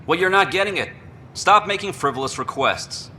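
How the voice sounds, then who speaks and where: A man's voice: sits high, lively intonation, normal speed, sharp tone, a little hiss or hum. An actor playing a condescending male station official who talks in curt, scolding putdowns. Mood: dismissive. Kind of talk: video game dialogue.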